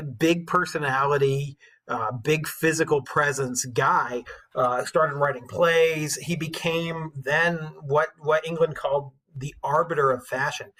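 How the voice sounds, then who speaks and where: A man with a husky voice giving an informal, enthusiastic classroom lecture as an English teacher, telling stories in colloquial language. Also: a little high, a bit bright.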